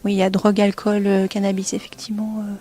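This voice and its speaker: A woman's voice: mid-range voice, completely flat delivery, fast, mellow, faint music behind. A woman explaining services as a guest in an informal radio interview, recorded in a small kitchen. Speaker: director of a family support centre, interview guest. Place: small kitchen of a community family centre.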